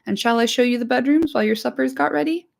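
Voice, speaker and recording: A woman's voice: on the high side, fast, gravelly, pitched above the performer's own voice. A woman voicing a cheery, eager young male hobbit servant. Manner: eager, obliging.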